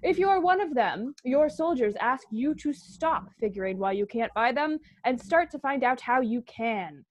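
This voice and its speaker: Lady doing an old timey 1940s radio announcement, high voice, persuasive, no room echo. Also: lively intonation, moderate pace, hardly any pauses. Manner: declarative, persuasive. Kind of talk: 1940s radio public-service appeal.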